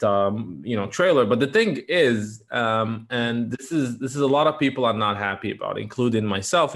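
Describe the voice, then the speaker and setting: A man at a middle pitch hosts a relaxed interview. He builds long, wandering questions, doubling words and stopping to restart as he gathers his thoughts. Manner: rambling, many restarts and fillers. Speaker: host. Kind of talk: casual interview.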